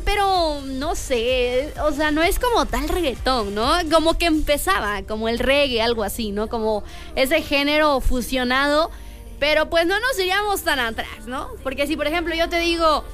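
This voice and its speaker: A woman's voice: high voice, fairly bright tone. A young Mexican woman radio DJ, chatting casually and rambling with lots of fillers. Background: faint music.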